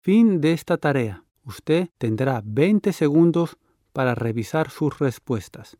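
A man reading formal, measured instructions as the announcer of a listening exam. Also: on the high side, expressive, mellow, dry recording, studio quality.

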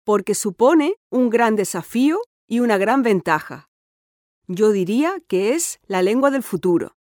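A confident, sporty teenage girl , voiced by a woman, who talks eagerly about school and books. Her pitch sits in an ordinary middle range. The studio recording is dry and close. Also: animated, unhurried, darkish tone, no room sound, natural voice. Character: cheerful sporty sixteen-year-old schoolgirl. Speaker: interviewee in an exam listening dialogue. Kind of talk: scripted dialogue for a listening test.

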